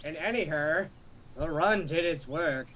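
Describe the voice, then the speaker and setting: A man's voice: a little high, unhurried, bright, forceful tone, slight background noise, poor audio. A young man giving casual, wisecracking commentary while sharing the reading of a visual novel. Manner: wisecracking, interjecting. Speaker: let's-play co-host. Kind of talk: joking commentary and casual read-aloud.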